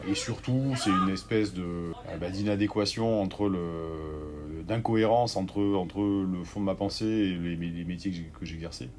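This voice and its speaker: A man in his forties from southwest France, the guest, talking through his career slowly with many fillers and restarts. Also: low voice, darkish tone. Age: 40s. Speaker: organic grocery owner, guest. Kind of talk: conversational interview.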